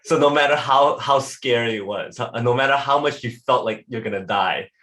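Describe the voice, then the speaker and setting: A man's voice: mid-range voice, moderate pace, fairly bright tone. A man hosting an interview, asking long, rambling questions in an encouraging way with many fillers. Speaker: interview host. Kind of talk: interview conversation.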